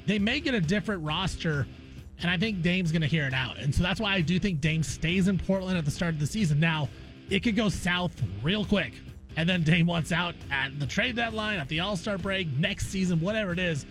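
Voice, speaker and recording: A man's voice: high-pitched, fairly quick, a bit bright, faint music behind. A man hosting a sports talk show, chatting casually and repeating phrases for emphasis. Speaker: sports talk radio host.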